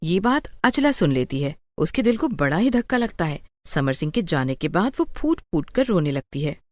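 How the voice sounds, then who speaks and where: Lively-pitched woman storyteller. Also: normal speed, no echo. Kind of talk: scripted story narration.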